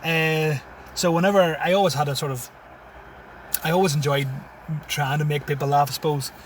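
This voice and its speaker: A man's voice: fairly high pitch, fairly even intonation, normal speed, recorded from a distance, a little hiss or hum. A man in his thirties with a Tyrone accent, chatting casually in a car as a comedian guest and chuckling often. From Syria, raised in Tyrone. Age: 30s. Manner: laid-back, chuckles often. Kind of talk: casual interview chat. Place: inside a parked car. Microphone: phone.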